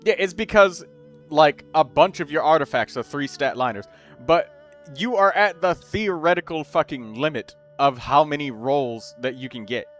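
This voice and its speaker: A man hosting a gaming stream, chatting casually with friends, excitable and swearing, now and then bursting into loud shouts. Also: fairly high pitch, normal speed, faint music behind. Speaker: streamer host.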